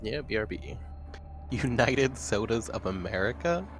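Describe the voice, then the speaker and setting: A man banters casually as a game player, taking his time with his words. His pitch is average, and there is faint noise behind him. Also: animated, unhurried. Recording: faint background noise and ambience.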